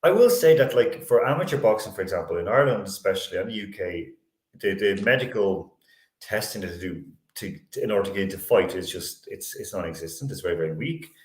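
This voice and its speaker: Low-voiced man hosting in an echoey room. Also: expressive. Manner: halting, stop-start phrasing with repeated words. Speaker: sports show host. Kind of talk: panel sports discussion. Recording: roomy, echoey sound.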